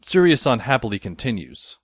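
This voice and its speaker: A man playing a wry high school boy who narrates an audio drama in the first person, musing as he goes. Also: very animated, fairly quick, slightly rough, dry recording, clean studio sound.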